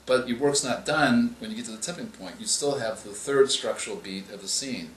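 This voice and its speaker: A man's voice: mid-range voice, a little hiss or hum. Man explaining in an echoey room. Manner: measured, explanatory, with frequent restarts. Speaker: author. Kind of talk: interview answers on writing craft. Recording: echoey room with faint ambience.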